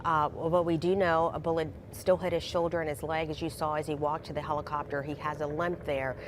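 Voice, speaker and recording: A woman's voice: low voice, level tone, brisk, a little hiss or hum. A woman anchoring live breaking news coverage, talking conversationally with frequent fillers and restarts.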